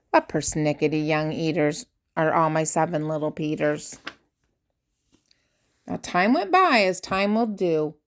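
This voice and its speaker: An older woman, a grandmother, reads a rhyming children's picture book aloud with expressive, theatrical emphasis on key words. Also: lowish voice. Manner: theatrical emphasis, drawn-out key words, dropping to hushed asides.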